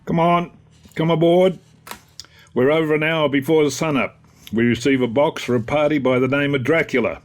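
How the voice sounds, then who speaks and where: An actor playing a bustling foreign ship captain in a radio drama, brisk, with broken-English phrasing. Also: male voice, on the high side, fairly even intonation, lightly acted. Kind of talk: character acting in radio drama.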